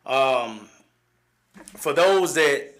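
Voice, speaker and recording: A man in his forties with a Southern accent, hosting a live show and telling stories from his childhood in a halting, folksy way, with many fillers and restarts. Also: mid-range voice, lively intonation, a bit bright, slight background noise. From East Texas, USA. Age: 40s. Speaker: livestream host. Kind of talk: personal storytelling on a live show.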